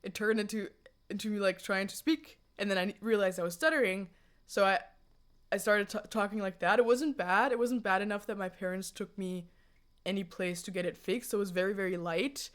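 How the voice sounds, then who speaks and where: A woman's voice: mid-range voice, brisk. Giggly young woman chatting on stream. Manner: giggly, laughs often, jokey and animated. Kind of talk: casual chat, answering viewer chat. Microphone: USB condenser desk mic.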